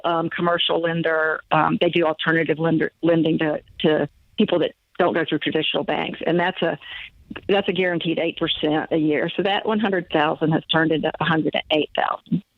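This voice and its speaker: A woman's voice: lowish voice, fairly even intonation, fairly quick, no room sound. A woman in her sixties calls in over a thin, tinny phone line. She talks hesitantly, stopping and restarting with plenty of fillers. The sound is dry and close. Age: 60s. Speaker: caller. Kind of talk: phone call to a radio advice show.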